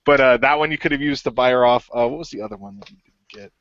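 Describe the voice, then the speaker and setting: A man's voice: medium pitch, fairly even intonation, quick pace, a bit bright, no echo. A man, the creator and game master of an audio drama, chatting casually, rambling with many fillers and laughing often. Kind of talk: casual group chat. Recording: dull lo-fi, dry sound.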